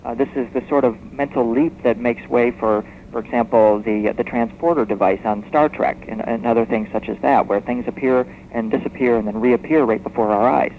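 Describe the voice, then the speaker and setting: A man speaking as an expert guest in a radio interview, explaining history as a story in a measured, discursive way. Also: mid-range voice, fairly even intonation. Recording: muffled noisy shortwave radio tape.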